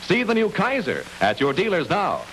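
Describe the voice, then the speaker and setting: High, animated 1950s commercial announcer man. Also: moderate pace, rough recording. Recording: hissy 1950s broadcast advertisement.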